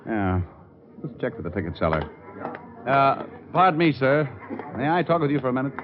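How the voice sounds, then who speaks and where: A man's voice: mid-range voice, big pitch swings, normal speed, a crowd in the background, natural voice. An American man playing a wry, weary private detective in 1940s radio drama style, clipped and world-weary. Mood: polite. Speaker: detective hero and narrator. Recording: dull old radio recording, music behind.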